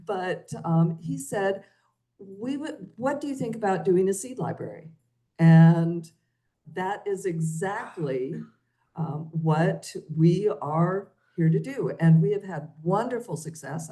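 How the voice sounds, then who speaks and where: A woman librarian giving a chatty informal talk, with a big booming voice. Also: mellow. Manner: chatty, says she has a booming voice.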